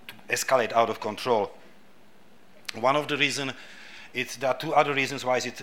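A man's voice: medium pitch, sharp tone, a little hiss or hum. A middle-aged man whose first language is Czech, speaking with a Czech accent as a guest speaker at a club event, hesitant, with many restarts and fillers. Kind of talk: public talk at a club event.

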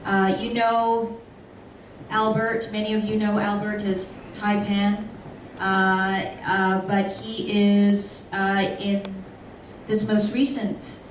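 Woman moderator, unhurried and measured; distant in a roomy hall. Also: mid-range voice, level tone, slight background noise. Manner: measured, hesitant. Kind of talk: panel moderation. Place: panel event hall. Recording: echoey hall from far off.

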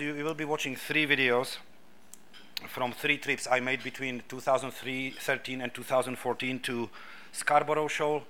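A man's voice: medium pitch, bright, forceful tone, a little hiss or hum. A middle-aged man whose first language is Czech, speaking with a Czech accent as a guest speaker at a club event, hesitant, with many restarts and fillers. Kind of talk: public talk at a club event.

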